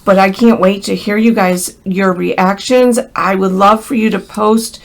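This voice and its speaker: A woman's voice: mid-range voice, steady tone, a little slow, creaky. An American woman with a crackly voice from a cold gives a casual, chatty hands-on craft tutorial, speaking directly and in a friendly way to viewers. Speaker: craft instructor.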